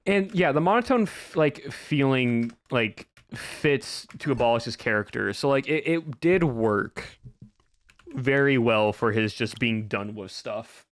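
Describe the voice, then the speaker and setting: A man talks casually to his stream chat through a home streaming microphone. His delivery is somewhat monotone and flat, and he rambles and restarts his sentences as he goes. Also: medium pitch, unhurried. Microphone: home streaming microphone with a filter.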